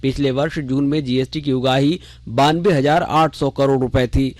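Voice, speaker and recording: A man reading a scripted radio news bulletin in a measured, formal way. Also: medium pitch, fairly even intonation, darkish tone, dry recording. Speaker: news reader. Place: radio studio.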